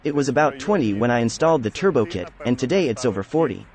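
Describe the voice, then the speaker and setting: A man's voice: mid-range voice, lively intonation, quick pace, darkish tone, faint music behind. A man, a car owner, answering the host's questions in brief, matter-of-fact replies outdoors. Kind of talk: interview answers.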